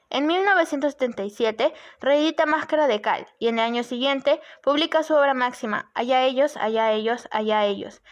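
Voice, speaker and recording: Woman reading a chronology, a bit high. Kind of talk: read narration.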